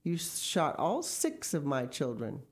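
A woman voicing a stern, aggrieved wild duck in a storybook, questioning with measured reproach. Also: deep voice, wildly swooping pitch, heavily acted.

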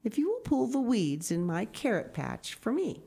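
A woman voicing a kindly old grandmother from a storybook, speaking gently. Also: on the high side, huge pitch swings, normal speed, creaky, husky, voice pushed up, heavily acted. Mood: gentle.

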